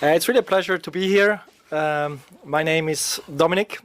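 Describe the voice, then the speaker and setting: A man's voice: on the high side, normal speed, fairly bright tone. A man from Switzerland, a radio interview guest, talking hesitantly with many filler sounds. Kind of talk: interview answers.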